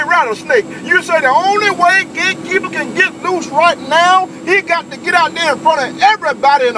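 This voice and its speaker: A man's voice: very high voice, rough recording. A man bantering on CB radio in a teasing, repetitive, whooping manner. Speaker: CB radio operator. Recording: loud engine-like radio noise.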